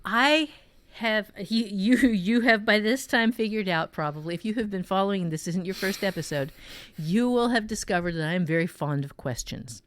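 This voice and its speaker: A woman teaching fiction writing in a casual podcast conversation, explaining with deliberate emphasis. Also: mid-range voice, animated, fairly bright tone. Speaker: author and writing teacher co-hosting.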